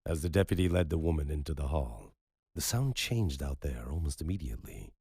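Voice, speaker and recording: Very deep gravelly male narrator. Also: lively intonation, darkish tone, no room sound, studio quality. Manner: slow, brooding, measured. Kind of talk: scripted story narration.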